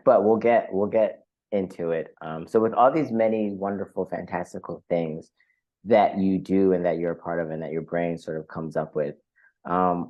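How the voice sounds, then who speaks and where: A man with a fairly low voice hosts a conversational podcast interview. He speaks effusively, repeating words as he searches for the next phrase. The recording is slightly dull and muffled.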